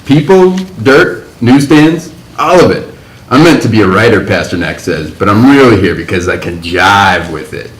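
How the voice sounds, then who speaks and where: A man playing an exuberant, slangy 1950s hipster writer who talks in jazzy, animated bursts. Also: on the low side, moderate pace, natural voice. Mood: jazzy, excited. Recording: roomy echo.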